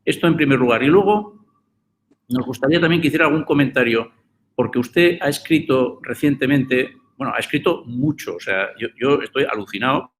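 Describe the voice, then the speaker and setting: A man's voice: medium pitch, a little fast, slight background noise. A man moderating an online course, introducing a guest and asking him questions in a conversational way. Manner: conversational, with small restarts. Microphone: video call.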